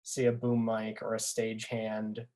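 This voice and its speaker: A man's voice: on the low side, monotone, a little slow, mellow. A young man chatting casually and enthusiastically as a podcast guest. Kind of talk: casual chat.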